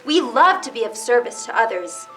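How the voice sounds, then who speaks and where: A woman's voice: on the high side, sing-song, normal speed, sharp tone, faint music behind. A woman playing an eager, courteous magical creature in a children's reader's theater play.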